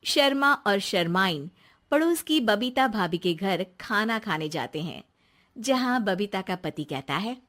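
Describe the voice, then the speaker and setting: Female narrator, scripted cartoon storytelling, unhurried and clear-cut bright tone. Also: mid-range voice, lively intonation.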